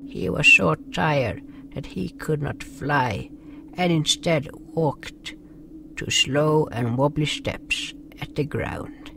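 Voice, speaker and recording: A man narrating a story in the first person, in a slow, earnest amateur reading. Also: on the high side, vocal fry, background noise. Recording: mono narration over music.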